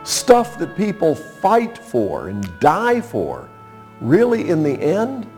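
A man in his sixties delivers a sermon at a very unhurried pace. His voice sits a little high for a man. He talks in a folksy, conversational way, leaving pauses and posing rhetorical questions. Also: wildly swooping pitch, darkish tone, soft background music. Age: 60s. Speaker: preacher. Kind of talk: sermon with scripture reading and personal anecdotes.

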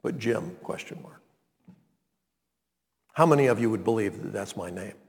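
A man, preaching a sermon and reading scripture, deliberate and emphatic. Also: on the low side, vocal fry. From North Carolina, US. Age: 90s. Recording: long reverb of a large hall.

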